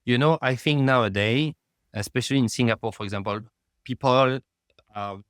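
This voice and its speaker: A middle-aged man, speaking as a guest in a remote interview, halting and peppered with "you know.". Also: medium pitch, a bit bright, clean tone, dry recording, studio quality. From France. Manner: halting, fills with "you know", rises in emphasis. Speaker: guest, lab founder.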